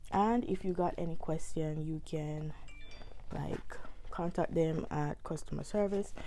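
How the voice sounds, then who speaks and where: Young woman with a cold, hoarse and stuffy, rambly unboxing vlog, lotta ums, soft dark tone. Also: low voice, a little hiss or hum. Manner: rambling, many ums and restarts. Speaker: beauty vlogger.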